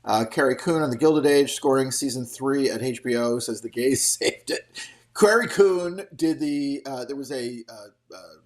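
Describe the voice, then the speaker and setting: A man's voice: medium pitch, moderate pace. Rambling, opinionated middle-aged man. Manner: rambling, opinionated, casually profane. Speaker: host of a daily show commenting on entertainment trade news. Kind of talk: off-the-cuff solo commentary.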